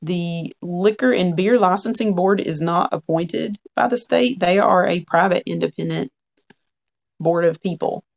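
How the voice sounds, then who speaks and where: Guest storyteller: man, 40s, gravelly fry, frank and earnest, chatty. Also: sits high, a little slow. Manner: frank, earnest, quotes own past thoughts. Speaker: podcast guest. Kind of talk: conversational storytelling.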